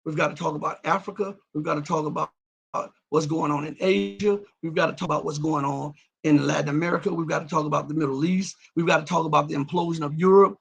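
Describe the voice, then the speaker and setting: Raspy, gravelly high-pitched man. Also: steady tone, fast, fairly bright tone. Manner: emphatic, repeats words and restarts, drops his voice to mutter asides. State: voice cracks and breaks up at times. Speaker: host of a live-stream show. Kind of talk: live-stream commentary, reading viewer comments.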